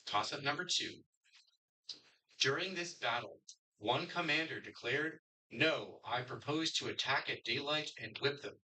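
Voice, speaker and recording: A middle-aged man from Connecticut, moderating a history quiz and reading questions in a brisk, upbeat way. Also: mid-range voice, pushed and bright, low-quality recording.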